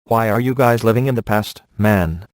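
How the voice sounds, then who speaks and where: A man acting a cocky, laid-back ghost hunter in a video game, sardonic and offhand with deadpan sarcasm. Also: lowish voice, normal speed, no room sound, clean studio sound, natural voice. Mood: dry, wisecracking.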